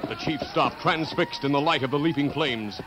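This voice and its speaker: A man playing the dramatic narrator of a 1950s radio western adventure, with an American accent and deliberate storytelling delivery. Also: fairly high pitch, over music, lightly acted. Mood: excited. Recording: old radio broadcast recording.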